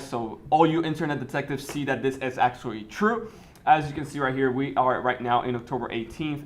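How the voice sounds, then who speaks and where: A man in his twenties from the Dominican Republic, a native Spanish speaker, talking casually with lots of fillers and restarts. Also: medium pitch. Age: 20s. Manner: fast, filler-heavy talk with many restarts. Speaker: host. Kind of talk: casual interview and tutorial talk.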